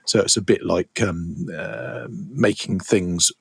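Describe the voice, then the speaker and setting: A man's voice: sits low, slow, creaky, breathy edge, dry recording. A middle-aged man hosting a podcast in casual chat, rambling with many restarts. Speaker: podcast host.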